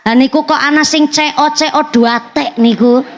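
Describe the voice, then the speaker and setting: High-voiced woman preacher with a Banyumasan Javanese accent. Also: normal speed, a little hiss or hum. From Central Java. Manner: animated and joking, switching between Javanese and Indonesian. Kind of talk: religious sermon.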